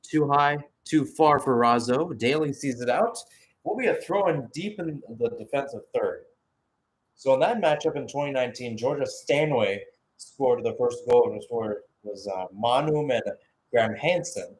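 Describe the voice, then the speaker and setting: A man works as a play-by-play commentator on a live soccer match. His voice sits at an ordinary male pitch. He describes the play in short calls and fills the gaps with conversational asides. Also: low-quality recording.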